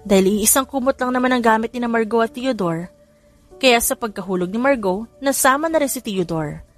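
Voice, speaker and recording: A Filipino woman with a fairly high voice narrates a scripted audiobook. She reads the story and voices its dialogue, with music playing softly behind her. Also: brisk. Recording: music under the voice. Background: background music.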